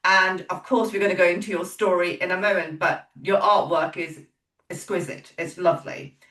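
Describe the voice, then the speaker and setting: A woman's voice: lowish voice, steady tone, normal speed, a bit bright. A woman hosting a podcast, upbeat and emphatic in a motivational way. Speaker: podcast host. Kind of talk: scripted intro then casual interview chat. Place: home, in British weather. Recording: roomy echo, a bit far from the mic, faint music.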